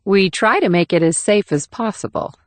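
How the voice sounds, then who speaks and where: A woman's voice: mid-range voice, animated, normal speed, dry recording, lightly acted. A woman playing a kind but guarded government agent in a thriller audiobook. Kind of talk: audiobook character dialogue.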